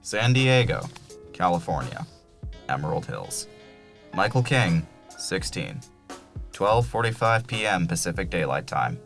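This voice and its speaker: A man playing a solemn announcer who reads out terse scene headings for an audio drama in a gravelly voice. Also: sits low, sing-song, unhurried, pauses often, fairly bright tone, faint music behind, full character voice. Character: solemn male announcer reading out case details. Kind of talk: slow, clipped scene-heading narration.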